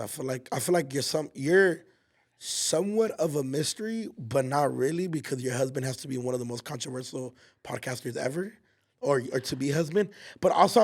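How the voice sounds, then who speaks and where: Slangy young man, higher voice. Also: breathy edge. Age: 20s. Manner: loose, slangy, laughs often. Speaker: podcast host. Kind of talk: casual chat.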